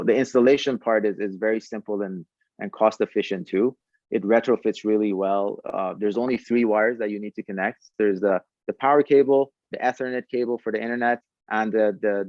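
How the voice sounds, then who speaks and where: A man's voice: fairly low pitch, fairly even intonation, moderate pace, a little mellow, no echo, muffled recording. A man talking conversationally as a podcast guest, explaining a product and often repeating words as he goes. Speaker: startup founder, podcast guest.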